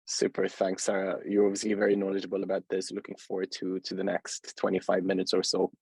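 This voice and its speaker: A man's voice: low-pitched, completely flat delivery, fast talker, creaky, a little mellow, breathy edge, no room sound. A man with a Swedish accent hosting a webinar interview, speaking hesitantly with many fillers and restarts. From Sweden. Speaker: host, company founder.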